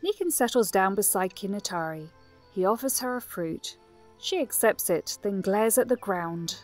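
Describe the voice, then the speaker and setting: Female narrator for audio drama: scripted storytelling, medium pitch, faint music behind. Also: lively intonation, normal speed.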